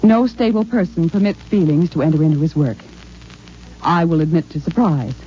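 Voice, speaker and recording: A woman's voice: low voice, moderate pace, warm tone, slight background noise, lightly acted. An actress playing a composed, formal and emotionally guarded woman scientist in a 1950s radio drama. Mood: cool, formal. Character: cool, restrained woman geneticist professor in underground future. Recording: dull, muffled old radio recording.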